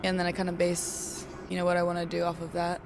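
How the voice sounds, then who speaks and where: A young woman from Okinawa, a singer-songwriter, answering interview questions in a relaxed, chatty way with lots of "like"s. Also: fairly low pitch, fast talker. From Okinawa, Japan. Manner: relaxed, chatty, laughs often, many "like"s. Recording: street noise behind.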